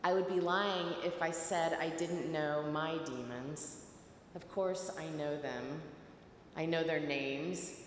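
Middle-aged woman preacher, unhurried and reflective, slightly low voice, faint hiss. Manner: slow, measured delivery with reflective pauses. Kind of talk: sermon. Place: large stone church, at a pulpit. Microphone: pulpit mic at a distance. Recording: echoey church with long reverb, faint hiss.

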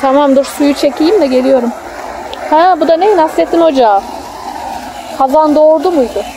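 High-voiced mother chatting outdoors. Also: moderate pace. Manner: explains and points things out. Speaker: mother filming a family outing. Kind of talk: casual vlog chat with a child. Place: outdoors in a theme park. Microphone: handheld phone. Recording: outdoor ambient noise on phone.

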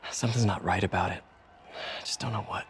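A man's voice: fairly low pitch, fairly even intonation, fairly quick, a little hiss or hum, natural voice. An actor with an American accent playing an earnest, awkward young man who talks hesitantly in a video game. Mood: uneasy, hushed. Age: young adult. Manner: hesitant, tentative.

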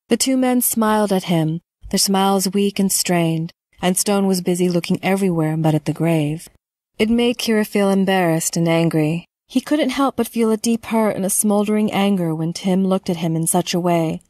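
A woman narrating a scripted horror story in a measured, dramatic storytelling manner. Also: mid-range voice, a little mellow, no room sound.

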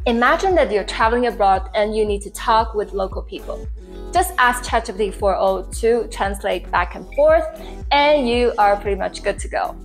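A young woman hosting an upbeat explainer video, talking to camera. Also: a little high. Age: young adult. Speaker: tech tips video host. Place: living room, indoors.

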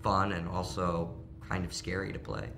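A man with a lowish, bright, sharp-edged voice answers interview questions, repeating words as he finds his footing. He sits in a studio for a press interview. A faint rumble sits under the voice. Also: level tone, moderate pace. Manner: stumbling starts, self-deprecating, laughing. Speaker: actor interviewee. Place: studio set.

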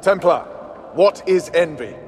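An actor playing a stern, earnest templar knight in a video game, grave and commanding. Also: male voice, sits high, lively intonation, a little slow, a little hiss or hum. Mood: resolute, commanding.

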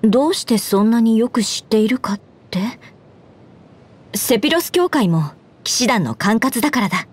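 A woman voicing an earnest, dutiful young knight commander in a Japanese game, speaking in a formal, measured way. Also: fairly high pitch, lively intonation, husky. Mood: composed, thoughtful. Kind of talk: Japanese game character voice lines. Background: background music.

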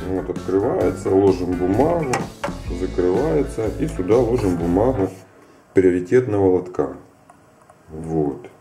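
A man's voice: low voice, expressive, unhurried, warm tone. A man casually giving a hands-on tutorial and telling stories, playfully repeating phrases for comic effect. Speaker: printer repair technician giving advice. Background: background music with guitar.

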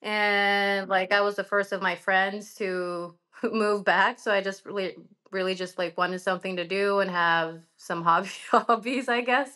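A woman's voice: mid-range voice, steady tone, a little slow, pushed and bright. A woman in her twenties with a Norwegian accent, a guest on a video call, answering easily, laughing often and saying "like" a lot. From Norway. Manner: easygoing, laughs through answers, uses "like" a lot. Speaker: guest MMA fighter. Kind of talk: casual interview answers. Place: indoor room at home.

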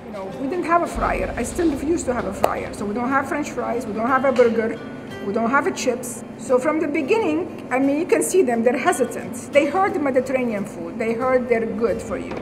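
A woman's voice: high-pitched, lively intonation, brisk, poor audio. A middle-aged woman from Syria with an Arabic accent, a chef telling her story earnestly in a documentary interview, with many "you know"s. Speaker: chef and restaurant owner. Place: empty restaurant dining room. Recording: documentary interview with music underneath. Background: music.

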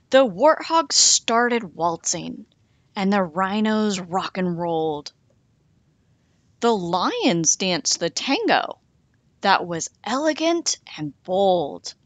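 A woman reading a rhyming picture book aloud for children, slow and expressive with a sing-song lilt. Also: medium pitch, no room sound. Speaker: storytime reader.

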